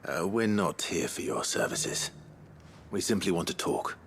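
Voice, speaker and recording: Low-voiced, weary outlaw leader. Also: male voice, animated, measured pace, creaky, slight background noise, heavily acted. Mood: wary. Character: weary, resolute male outlaw leader, young adult. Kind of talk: dramatic video game voice acting.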